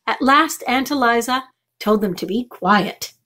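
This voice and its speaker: A woman's voice: a little high, animated, unhurried, pushed and bright. A middle-aged woman reading a children's chapter book aloud as a storytime reader. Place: small furnished room indoors.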